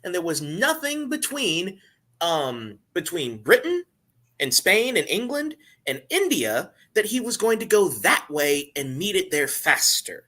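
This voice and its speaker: A man's voice: high voice, sing-song, a little slow, pushed and bright. A man in his twenties talking in a casual discussion on an online call. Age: 20s. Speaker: pastoral intern and seminary student.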